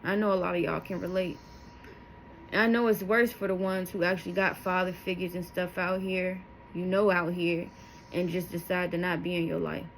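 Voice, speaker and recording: A woman's voice: on the low side, level tone, moderate pace, slight background noise, poor audio. A woman of thirty telling her life story to her viewers, candid and emotional, rambling with asides as she goes. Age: 30s. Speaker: vlogger. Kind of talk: personal testimony to camera.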